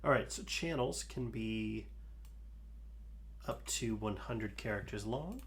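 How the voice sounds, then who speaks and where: Low gravelly guy slowly talking thru code on a stream, faint hum behind. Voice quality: soothing. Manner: slow, calm, talks through code with fillers. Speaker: livestream host. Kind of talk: live coding stream, thinking aloud.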